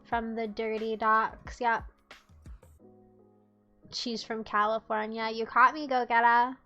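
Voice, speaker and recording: A woman's voice: fairly high pitch, level tone, slow, bright, forceful tone, muffled recording, faint music behind. A young woman from the United States streaming live, chatting casually and teasing her chat in a playful way.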